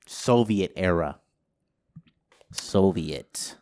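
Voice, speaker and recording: A man's voice: sits low, expressive, very slow, a little mellow. A man hosting a casual podcast, rambling and crude, joking with friends and using lots of fillers. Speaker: podcast host. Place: home studio.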